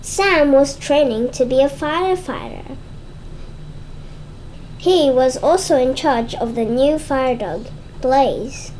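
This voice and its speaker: A child reading a storybook aloud in a halting way, stumbling and repeating words. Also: high voice, a little mellow, a little hiss or hum, low-quality recording. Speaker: read-aloud presenter.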